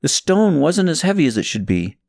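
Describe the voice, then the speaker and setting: A man narrating a story from a script in an expressive, suspenseful, dramatic way. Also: fairly high pitch, brisk, gravelly, no echo. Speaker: audiobook narrator reading own novel.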